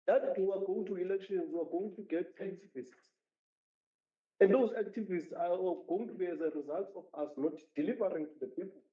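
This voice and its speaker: A man speaking as a municipal councillor in a council debate, emphatic and repeating his points. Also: high-pitched. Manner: emphatic, repetitive speechmaking. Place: council chamber. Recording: roomy, dull council chamber audio.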